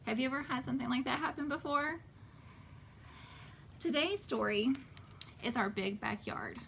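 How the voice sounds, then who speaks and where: A woman hosting a children's storytime, reading a picture book expressively and pausing to ask simple questions. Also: high-pitched, creaky, slight background noise. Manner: expressive, asks simple questions, pauses to point things out. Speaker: children's storytime host and reader.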